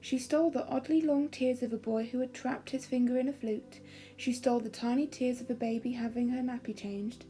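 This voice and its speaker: A woman storyteller giving a calming, expressive, measured reading of a children's fairy story. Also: on the high side, faint music behind.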